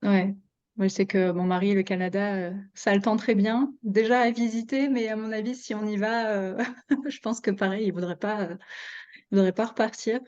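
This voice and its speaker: A woman's voice: medium pitch, steady tone, moderate pace, a little mellow, muffled recording. A woman hosting a podcast and chatting with a guest. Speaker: podcast host and interviewer. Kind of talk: scripted intro, then casual interview chat.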